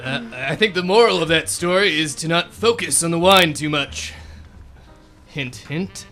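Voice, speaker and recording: A buttoned-up, easily exasperated detective, played by a man in a radio play, narrating and scolding in a formal tone. His voice is bright and a little high, with faint music under it. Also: measured pace. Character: stiff, prim male detective and narrator. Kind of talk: student radio drama acting.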